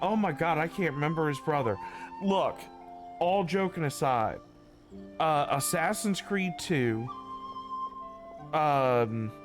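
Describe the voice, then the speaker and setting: Lively rambling male game streamer. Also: fairly high pitch. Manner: rambling, halting, repeats words. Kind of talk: casual live stream commentary. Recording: game music behind the voice.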